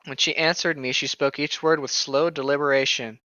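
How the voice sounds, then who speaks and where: A woman's voice: low voice, fairly even intonation, fairly quick, fairly bright tone, no room sound, lo-fi sound. A woman co-hosting a casual read-aloud podcast, mocking the book with sarcastic, irreverent commentary and plenty of swearing. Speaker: podcast co-host reading a novel aloud with commentary.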